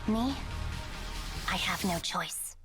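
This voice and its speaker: A woman's voice: mid-range voice, animated, takes it slowly. An actress playing a stoic, obedient young female soldier in a game trailer, terse and composed. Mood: resigned. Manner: terse, composed military delivery. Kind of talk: scripted game trailer acting. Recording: trailer audio with music behind.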